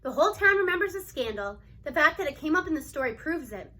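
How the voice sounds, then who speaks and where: A sympathetic woman principal from a children's novel, read by a woman narrator. She reasons earnestly and persuasively, quick to defend. Her voice is high with a bright edge. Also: very animated, full character voice. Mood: earnest defense.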